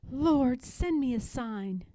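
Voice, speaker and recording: A woman acting a pleading, high-voiced devout runaway woman. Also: expressive, slow, dark tone, no echo. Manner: pleading, fervent. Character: Harriet, frightened devout enslaved young woman praying.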